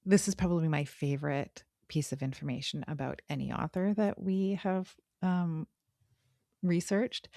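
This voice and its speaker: Low-voiced woman podcast host chatting. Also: darkish tone, no echo, studio quality. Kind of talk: casual book discussion.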